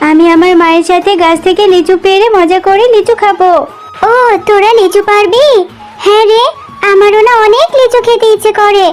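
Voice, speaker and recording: A woman voicing a sweet, eager, earnest little girl in a children's cartoon. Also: very high voice. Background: music.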